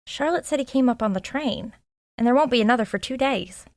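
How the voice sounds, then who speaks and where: A woman's voice: a little high, expressive, a little fast, no echo, studio quality. An actress playing an eager, chatty sidekick to a woman sleuth, earnest and inquisitive, in an audio drama. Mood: speculative, eager. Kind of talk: audio drama acting, dialogue.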